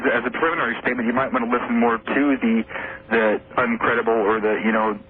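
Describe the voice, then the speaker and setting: A man calling in to a radio show, rambling and halting, often trailing off and starting over. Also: medium pitch, a little hiss or hum. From Seattle area, USA. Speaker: caller. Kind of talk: rambling phone-in call. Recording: tinny phone line on an old radio broadcast.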